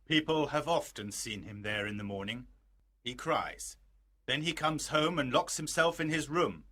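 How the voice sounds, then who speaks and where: Man playing a bright-voiced, worried old lord. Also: mid-range voice, lively intonation, measured pace. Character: worried, dignified older lord, a troubled father. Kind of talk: storybook dramatization.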